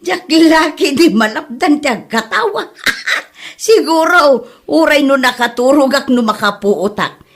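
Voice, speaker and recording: A woman's voice: sits high, expressive, unhurried. A woman with an Ilocano Filipino accent playing a mocking, giggly mother and auntie in a comedy drama, exclaiming and laughing through her words. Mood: mocking amusement.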